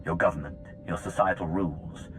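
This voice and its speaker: An actor playing a composed, earnest, resolute male hero in a video game cutscene, speaking in a measured way. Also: low-pitched, steady tone, a bit bright, faint music behind. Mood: guarded, questioning.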